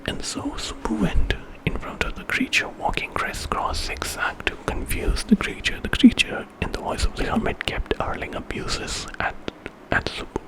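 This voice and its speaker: A man's voice: normal speed, few pauses, low-quality recording. A man telling a story in whispered ASMR style, informally, as if making it up with casual asides.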